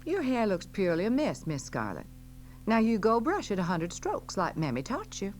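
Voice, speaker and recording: A woman's voice: mid-range voice, huge pitch swings, normal speed, a little mellow, faint music behind, pitched above the performer's own voice. A woman playing a dying old Southern mammy in an audiobook, soothing, folksy and scolding in a devoted way. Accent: American South. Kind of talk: dramatic audiobook character acting.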